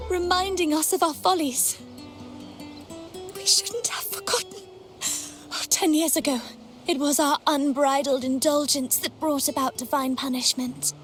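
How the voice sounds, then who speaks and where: An actress playing a devout, fearful worshipper preaching repentance in a video game, with reverent, solemn, theatrical delivery. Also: female voice, sits high. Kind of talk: video game story dialogue acting.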